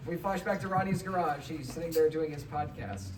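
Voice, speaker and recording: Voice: medium pitch, fairly quick, far from the mic. The recording is echoey and noisy. A narrator reads out scripted scene directions for a comedy table read, some way from the mic. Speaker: narrator reading stage directions.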